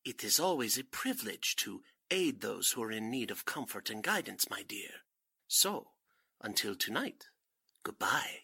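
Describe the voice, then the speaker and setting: An actor playing a suave, grandiose fake spiritualist cult leader in a 1940s-style radio drama, speaking formally and theatrically. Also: male voice, on the low side, very animated, unhurried, vocal fry, bright, forceful tone, breathy edge, no echo, clean studio sound, heavily acted. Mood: unctuous.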